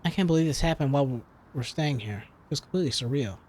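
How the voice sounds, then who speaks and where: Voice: mid-range voice, lively intonation, fast talker, noisy background, close to an everyday voice. A game player as a weary, sheepish student sleuth. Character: weary, reluctant student amateur detective. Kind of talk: reading visual novel dialogue aloud. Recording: game music behind the voice.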